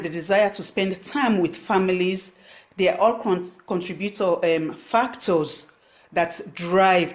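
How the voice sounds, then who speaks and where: Sierra Leonean woman on a live stream. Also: fairly low pitch. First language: Krio. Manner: thanks viewers by name, many fillers and restarts. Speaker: online business coach. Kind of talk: Facebook live stream talk.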